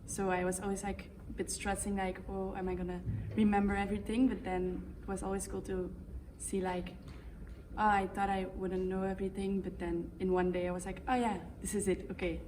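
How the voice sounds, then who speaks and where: A woman's voice: medium pitch, level tone, a little fast, dark tone. A seventeen-year-old girl from Belgium, a young actor chatting in an interview, full of "like" and easy laughs. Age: teens. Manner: chatty, many likes, laughs easily. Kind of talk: casual interview answers. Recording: distant mic with background noise.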